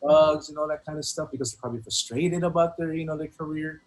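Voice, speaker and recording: A man speaks in a loose, conversational interview style. He is earnest and halting, circling back over his phrases, and there is some audible noise behind him. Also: a little high, steady tone. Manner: rambling, earnest, halting, many repeated phrases. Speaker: hip-hop artist being interviewed. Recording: noticeable background noise.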